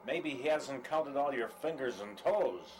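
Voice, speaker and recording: A man's voice: medium pitch, expressive, measured pace, close to an everyday voice. A man playing a jealous, menacing betrayed husband in an audio drama, sarcastic and needling. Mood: bitterly sarcastic. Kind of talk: radio-play style dramatic acting. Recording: faint background noise.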